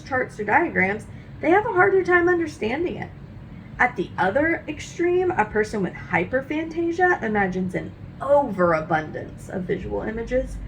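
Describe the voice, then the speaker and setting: A woman with a fairly high, very animated voice reads a children's nonfiction book aloud. Her delivery has a marked creak at times and careful, teacherly phrasing. A faint noise with a low rumble sits behind her. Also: poor audio. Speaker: read-aloud host.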